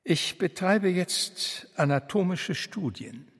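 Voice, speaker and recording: An actor playing a resigned, reproachful failed artist in a radio drama, hesitant and bitterly polite. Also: male voice, sits high, takes it slowly, breathy edge, full character voice. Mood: resigned, wry. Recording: long reverberant hall, music underneath.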